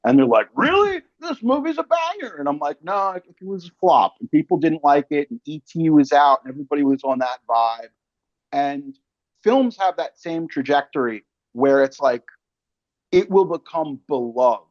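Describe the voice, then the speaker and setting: A man's voice: fairly high pitch, big pitch swings, moderate pace, no room sound, lo-fi sound. A man hosting a casual film interview, talking emphatically in slang and analogies. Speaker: podcast host and interviewer.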